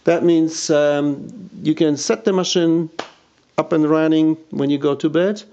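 A man's voice: on the high side, fairly even intonation, measured pace, warm tone. A man giving an informal hands-on demonstration, hesitant and searching for words. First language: not English. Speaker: video presenter demonstrating audio gear. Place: indoors at home, by a tape deck. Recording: dull lo-fi home recording.

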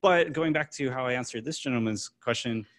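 Mid-pitched man answering audience questions. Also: fairly quick, a bit bright. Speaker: application security speaker. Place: meetup room before a seated audience.

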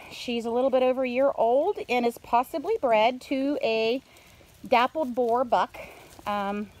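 A woman's voice: a little high, a little mellow. A middle-aged woman giving a casual, rambling, unscripted vlog narration from behind a handheld phone outdoors on a farm. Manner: chatty, rambling while showing things around. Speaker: homesteader filming updates. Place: outdoors around a farmyard, coops and pens. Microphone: handheld phone, speaker behind camera. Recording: noisy outdoor phone recording with animal sounds. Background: farm ambience and animal sounds.

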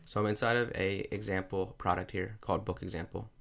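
A man talking through a software tutorial over a screen recording, explaining each step plainly. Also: deep voice, steady tone, a little fast, creaky. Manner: plain step-by-step explaining, repeats "you're gonna".

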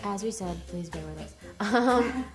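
A teenage singer chatting casually on a live stream, giggly and talkative, with lots of "like". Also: female voice, medium pitch, lively intonation, unhurried, music in the background. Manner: giggly, talkative, says 'like' often. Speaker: singer hosting a live show. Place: indoor studio room with piano and guitar.

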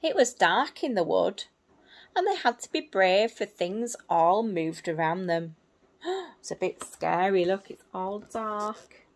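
A woman in her thirties reading a picture book to small children in simple, expressive phrases, adding little explanations as a teacher would. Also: mid-range voice, takes it slowly. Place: small indoor room at home.

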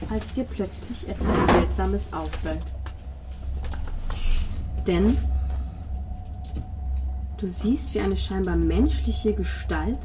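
A woman narrating a tabletop roleplay as game master, with suspenseful, drawn-out storytelling and pauses. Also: mid-range voice, warm tone. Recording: noisy tabletop session recording.

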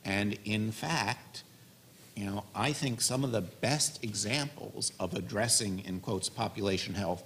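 Gravelly low-voiced man on a panel. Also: a bit bright, a little hiss or hum. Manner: measured, thoughtful, gestures while talking. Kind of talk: panel discussion answer. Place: conference auditorium stage. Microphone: stand mic on panel table.

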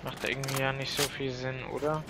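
A man's voice: mid-range voice, flat delivery, a little fast. A man giving casual gameplay commentary, rambling and thinking aloud in short fragments. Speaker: gaming video creator. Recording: clear background noise behind voice.

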